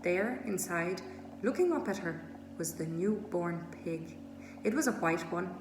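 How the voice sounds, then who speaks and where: A woman's voice: on the low side, measured pace. A woman reading a children's storybook aloud as a scripted narration. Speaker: storybook reader. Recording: echoey room with steady noise.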